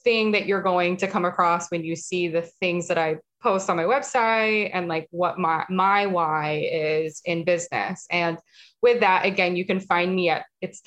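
A woman guest in a casual interview, talking in a calm, even way that she calls monotone. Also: on the low side, moderate pace. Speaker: guest, marketing specialist.

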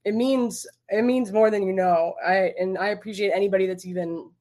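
A woman's voice: medium pitch, fairly even intonation, a little fast, warm tone. A woman chatting casually as a guest on an online show, effusive and repeating her phrases for emphasis. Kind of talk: casual chat.

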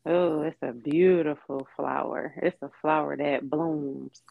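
A young woman from with a gravelly, creaky edge to her voice. She talks as a podcast guest, easygoing and reflective, and laughs often. The sound is dull and dry, with the lo-fi feel of a plain podcast recording. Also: low voice, steady tone, unhurried, no echo. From Detroit, Michigan. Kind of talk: casual interview chat.

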